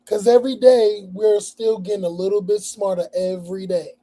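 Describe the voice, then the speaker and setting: A man hosting a casual livestream, hoarse, speaking bluntly with a lot of profanity and repetition. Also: high voice, steady tone, moderate pace, mellow, poor audio. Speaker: livestream host.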